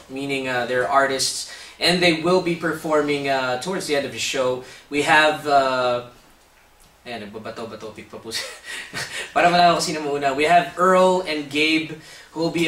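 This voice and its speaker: A man's voice: mid-range voice, a bit bright. Filipino guy hosting a talk show, lots of uhs, roomy echo, mic kinda far. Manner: chatty, hesitant, lots of uhs, switches to Tagalog. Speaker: host. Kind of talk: casual talk show interview. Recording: roomy echo, mic at a distance, faint background hiss.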